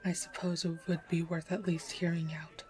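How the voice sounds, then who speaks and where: A fairly low female voice acted by a woman plays a guarded assassin in a fantasy audio drama. The delivery is businesslike and skeptical, with soft music underneath. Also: flat delivery, normal speed, natural voice. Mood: suspicious, stern. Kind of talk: amateur fantasy audio roleplay acting.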